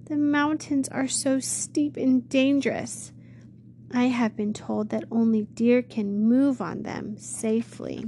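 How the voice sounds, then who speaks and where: A woman's voice: fairly high pitch, steady tone, slow pace, warm tone, a little hiss or hum, pitched above the performer's own voice. A woman voicing a timid young crippled woman who is fearful and pleading, in a storybook reading. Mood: longing. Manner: anxious, tearful pleading.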